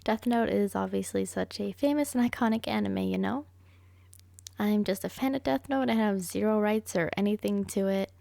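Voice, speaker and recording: A woman's voice: medium pitch, moderate pace, gravelly, warm tone, no room sound. A young woman talks casually to her audience as an audio creator. Her voice is of average height for a woman. The sound is dry, with hardly any room in it. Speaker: roleplay audio creator, voice actor. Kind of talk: casual chat to listeners.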